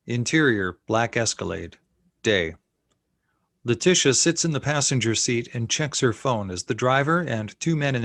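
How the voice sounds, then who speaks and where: A man's voice: on the low side, fairly bright tone, dry recording. A man reading screenplay stage directions as scripted narration.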